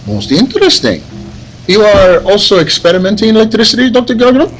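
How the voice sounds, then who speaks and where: A man's voice: high-pitched, very animated, fast, a little hiss or hum, poor audio. A man playing an inquisitive, suspicious visiting professor in an old-time radio serial. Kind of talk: 1940s radio serial acting, live table read.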